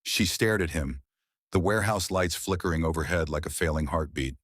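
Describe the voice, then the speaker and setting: A man reading a noir thriller audiobook in a measured, brooding style. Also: extremely low pitch, fairly bright tone, dry recording, clean studio sound. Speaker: audiobook narrator. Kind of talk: scripted audiobook narration.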